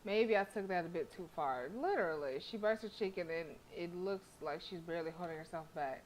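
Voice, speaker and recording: A woman's voice: lowish voice, brisk, darkish tone, slight background noise, poor audio. A woman streamer reading a visual novel aloud expressively, with casual, sassy asides. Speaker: game streamer.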